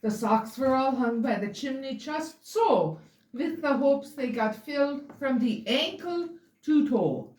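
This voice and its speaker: Roomy, animated woman storyteller. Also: high voice, a little slow. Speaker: storyteller reading aloud. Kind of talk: rhyming holiday story read aloud to listeners. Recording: roomy, distant mic, faint noise.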